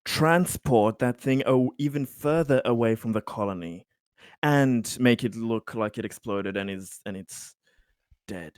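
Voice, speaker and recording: A man's voice: mid-range voice, a little slow, clean tone, no room sound. Dry-sounding man with a medium voice. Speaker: tabletop game player. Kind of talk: casual tabletop planning chat.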